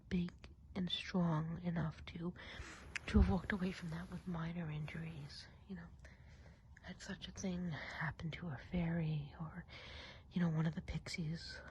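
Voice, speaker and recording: A woman playing a kindly, gossipy witch healer of the woods in a soft, breathy whisper, chatty and soothing, for an ASMR roleplay. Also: on the low side, muffled recording, slight background noise, natural voice.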